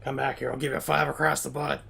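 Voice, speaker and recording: A male game streamer chats casually in a middle-pitched voice. He wisecracks and rambles over the game, with faint noise in the background. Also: flat delivery, fast talker, gravelly, bright, forceful tone. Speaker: game livestreamer. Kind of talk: casual, joking play-along commentary.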